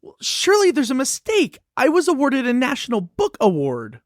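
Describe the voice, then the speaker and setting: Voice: sits high, wildly swooping pitch, normal speed, no room sound, studio quality. An actor in a musical puppet show voicing an anxious, earnest children's book that pleads its case expressively. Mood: worried. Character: earnest, anxious award-winning children's book facing a ban.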